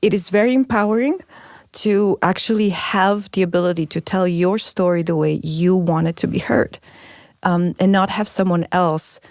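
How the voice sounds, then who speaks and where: A woman's voice: lowish voice, normal speed, a little mellow, dry recording, studio quality. A middle-aged woman from the former Yugoslavia, a former refugee who now works for a nonprofit, speaking in an earnest and reflective way with some fillers. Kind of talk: personal interview account.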